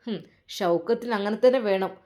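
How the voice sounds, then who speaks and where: Brief: woman doing a sly young husband, low hushed coaxing voice. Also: brisk, natural voice. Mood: aggrieved. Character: scheming young husband, smooth-talking.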